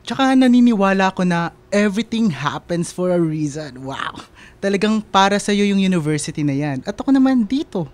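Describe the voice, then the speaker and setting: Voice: mid-range voice, animated, normal speed, darkish tone, natural voice. A performer playing a teasing, jokey college-age male best friend in casual radio drama banter. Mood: teasing, upbeat.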